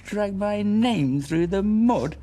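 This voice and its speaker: A man's voice: high-pitched, exaggerated voice. An actor playing a wounded young man who answers with bitter, mocking sarcasm. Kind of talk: broadcast drama acting.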